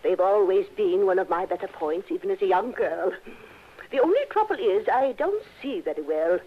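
An actress playing a genteel, trusting and easily flattered older wealthy widow in a 1960s radio drama, speaking in a fluttery, hesitant way. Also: female voice, mid-range voice, normal speed, natural voice. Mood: flattered, coy. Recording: dull, lo-fi old radio recording.

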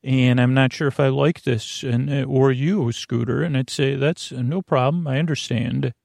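A man hosting a bedtime podcast in a creaky, lulling voice, meandering through tangents with frequent stammers and questions to himself. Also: mid-range voice, fairly even intonation, moderate pace, darkish tone, no echo, clean studio sound. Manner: soothing, lulling, drowsy delivery. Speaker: podcast host. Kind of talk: rambling bedtime podcast monologue.

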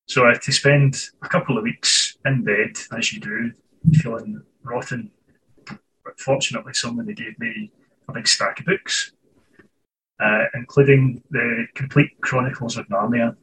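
Scottish guy on a zoom call, bright edgy voice, bit far from the mic with faint hiss. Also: mid-range voice. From Scotland. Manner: thoughtful, restarts phrases while searching for words. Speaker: author guest. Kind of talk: conversational interview over video call. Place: home writing office. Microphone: video call.

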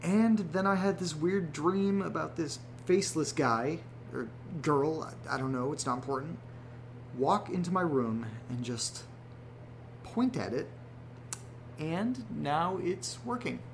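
A man's voice: fairly high pitch, lively intonation, unhurried, a little hiss or hum. A man playing a young guy in a horror audio drama who talks sarcastically to his listeners and rambles with fillers. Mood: sarcastic. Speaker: narrator and protagonist.

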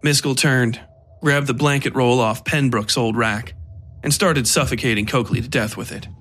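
A man reading scripted dark fiction as the narrator. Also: mid-range voice, steady tone, moderate pace. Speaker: narrator and writer. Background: guitar music.

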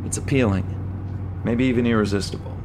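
Very deep-voiced, wry female outlaw. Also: a little fast. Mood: flirty, amused. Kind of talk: audio drama acting. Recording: noisy moving-car sound scene.

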